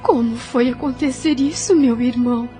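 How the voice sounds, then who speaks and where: An actress plays a selfless, softly melancholy woman of thirty in an audio drama. She has a Brazilian accent, a rather high voice and earnest, formal diction, with faint music behind. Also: normal speed, natural voice. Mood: anxious, caring.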